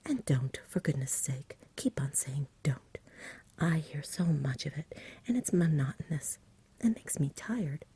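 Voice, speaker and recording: A self-assured country boy from a storybook voiced by a woman speaks in a very breathy whisper. He is polite and matter-of-fact, a little weary, with a soft mellow tone for ASMR reading. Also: low voice, expressive, unhurried, heavily acted.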